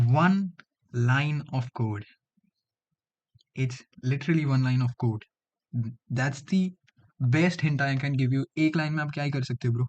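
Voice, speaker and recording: Young Indian man, higher-pitched, casual streamer banter. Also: a little mellow, lo-fi sound. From Delhi, India. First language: Hindi. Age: 20s. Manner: slangy, bantering, often says bro. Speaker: livestream host, student coder. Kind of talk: casual livestream chat with viewers.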